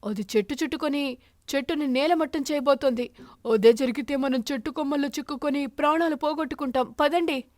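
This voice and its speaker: A woman's voice: high voice, a little fast, darkish tone, dry recording, clean studio sound, close to an everyday voice. A woman voicing a watchful cartoon eagle who warns the flock in an urgent, commanding way. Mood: urgent alarm.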